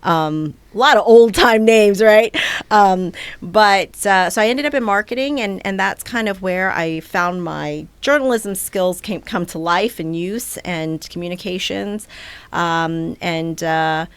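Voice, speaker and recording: A woman's voice: medium pitch, measured pace, clear, resonant tone, dry recording. A woman in her forties from Taiwan, a nonprofit director, talking loosely with many fillers as a podcast guest. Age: 40s. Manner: loose and rambling, many fillers, laughs easily. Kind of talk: casual interview chat.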